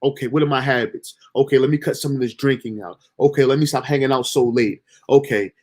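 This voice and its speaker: A man's voice: medium pitch, brisk, a little mellow. Young male streamer, mid-range voice; casual, profane, self-motivating monologue. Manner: loose, slangy, motivational self-talk with profanity. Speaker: livestream host.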